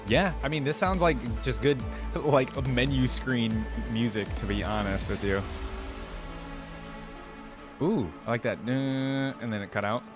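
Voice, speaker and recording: A man's voice: mid-range voice, measured pace. A man hosting a casual reaction video, musing aloud in an informal way. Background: music from the video being watched.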